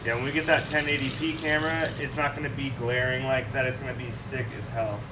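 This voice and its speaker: A man's voice: mid-range voice, level tone, fast talker, distant mic, noisy background. Laid-back young man cooking on stream. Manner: laid-back, slangy, talks to chat while cooking. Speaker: cooking show host. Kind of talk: casual live-stream cooking commentary. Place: home kitchen, indoors. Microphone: room mic away from the speaker. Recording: roomy kitchen sound from off-mic, loud sizzle. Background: frying and sizzling pans.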